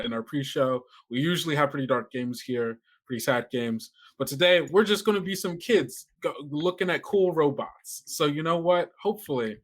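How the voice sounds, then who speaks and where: A man casually hosting a tabletop game podcast, talking in a loose, rambling way with small stumbles. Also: mid-range voice. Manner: rambling, stammering, often chuckling. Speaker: host and game master of an actual-play podcast.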